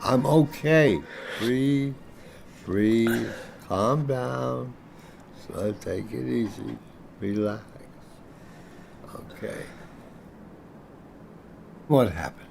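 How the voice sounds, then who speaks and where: An actor playing a kindly old night watchman in an audio drama, with gentle, brief, gravelly replies. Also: medium pitch, drawn-out words, long pauses, slight background noise. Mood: soothing, shaken. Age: older.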